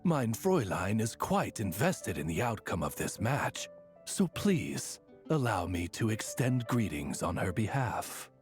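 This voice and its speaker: A man's voice: fairly low pitch, very animated, a little slow, faint music behind. A man voicing a formal, loyal male raven attendant in a game, speaking in a courtly, polite way. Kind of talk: game voice acting.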